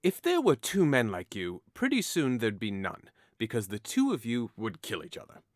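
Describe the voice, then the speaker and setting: A man's voice: mid-range voice, wildly swooping pitch, normal speed, dry recording, studio quality. A man playing a hot-headed, mocking young nobleman in an audio drama, with a theatrical, taunting delivery. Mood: teasing, mocking.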